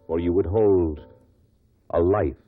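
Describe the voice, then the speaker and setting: A man's voice: low voice, takes it slowly. An American man narrating a 1950s radio drama as its announcer, solemn and portentous in scripted delivery. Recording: dull lo-fi radio recording with music.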